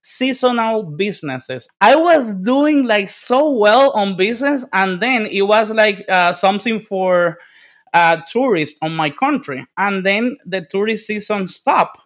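Dominican man with a high voice, podcast guest, eager, stumbling over his words. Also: unhurried. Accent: Dominican Spanish. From Dominican Republic. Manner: energetic, repeats words and restarts, casual swearing. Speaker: guest, business development consultant. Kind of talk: casual interview storytelling.

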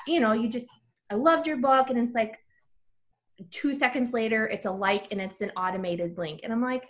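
A woman's voice: a little high, normal speed. A woman, a listener guesting on a book podcast, talking in a chatty way and acting out little quotes as she goes. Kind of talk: casual podcast chat.

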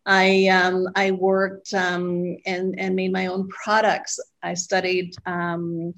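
A middle-aged woman speaks as an interview guest, her voice sitting a little low. She recalls her past slowly and reflectively, stopping often to search for words. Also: completely flat delivery, a bit bright. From Costa Rica, raised abroad. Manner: reflective, pausing with fillers. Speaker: guest, retreat owner.

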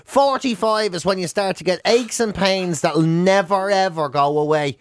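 A man's voice: high-pitched, normal speed, dry recording. An Irish man co-hosting a radio show, bantering casually and acting out the exchanges in his comic anecdotes. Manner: animated, often acts out quoted exchanges.

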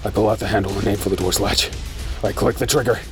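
Deep gravelly male drama narrator. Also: fairly even intonation, quick pace, over loud music. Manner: tense, terse and intense. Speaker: first-person narrator.

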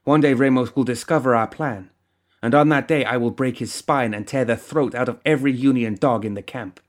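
A man voicing a fierce, resentful woman, a rail-worker rebel bound by duty, with a stiff, forceful delivery in an audiobook. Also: medium pitch, normal speed, natural voice. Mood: bitter fury. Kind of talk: audiobook character acting.

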